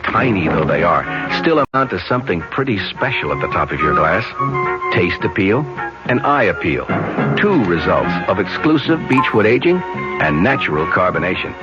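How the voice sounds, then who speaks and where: Male commercial voice, lowish and lively, vintage radio with loud music bed. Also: moderate pace. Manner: smooth, relaxed salesman. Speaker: ad announcer. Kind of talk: 1970s radio beer commercial. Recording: dull old radio broadcast with music.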